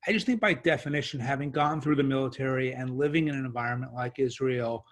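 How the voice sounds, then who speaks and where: Male investor, even pitch, methodical and point by point. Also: fast talker. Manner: organized, lays out numbered points. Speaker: venture capital investor. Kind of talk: panel discussion on a video call.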